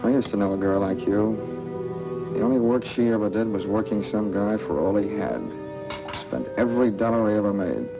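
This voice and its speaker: An actor with an American accent playing a bitter, guarded drifter on the run, terse and hard-boiled, in 1940s film noir style. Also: male voice, on the low side, brisk, natural voice. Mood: cynical. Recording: muffled old film soundtrack, loud music behind.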